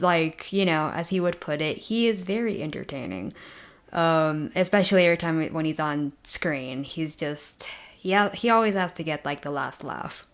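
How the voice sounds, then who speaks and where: A woman hosts a casual chat with friends on her own channel. Her voice sits a little low, and she rambles easily, with frequent ums and light laughter. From Mexico. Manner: chatty, many ums and laughs. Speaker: channel host. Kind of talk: casual show discussion with friends.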